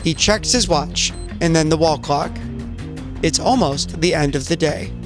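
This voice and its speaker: A man narrates in a scripted, cinematic style, setting scenes like a screenplay. His voice is a bit higher than average, with music clearly audible behind him. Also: unhurried. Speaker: narrator and player.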